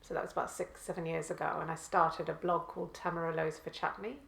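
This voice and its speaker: Guest: woman from Malawi, low gravelly voice, halting delivery, echoey room. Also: flat delivery, fairly bright tone, slight background noise. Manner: hesitant, many pauses and restarts. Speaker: guest, cookbook author. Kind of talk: conversational interview answers.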